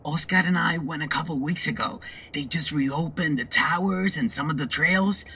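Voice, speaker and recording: A man's voice: a little high, normal speed, vocal fry, bright, forceful tone, a little hiss or hum. An actor playing a friendly, theatrical Puerto Rican man in an audio drama, expressively mixing Spanish into English. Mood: cheerful.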